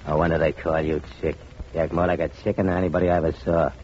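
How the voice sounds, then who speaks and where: This is a scheming gangland boss in a vintage radio play. He sounds low and dark, bullying and scornful. The recording is dull and lo-fi with faint hiss. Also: level tone, rapid-fire. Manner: contemptuous, bullying. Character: cold, scheming male gang boss. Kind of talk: 1940s radio acting.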